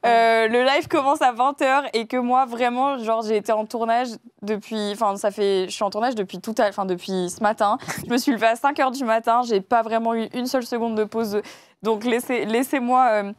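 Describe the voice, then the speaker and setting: A tired woman hosting a casual chat, lively and joking, who later reads a letter aloud. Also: fairly high pitch, dry recording. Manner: lively, joking, quick to laugh. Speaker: host.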